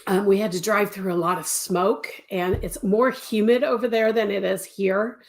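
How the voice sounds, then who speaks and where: An older woman with a stuffy cold and a slightly raspy throat, chatting casually as host of a weekly live stream, greeting viewers by name. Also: medium pitch, fairly even intonation, normal speed. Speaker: crochet designer hosting a weekly live stream.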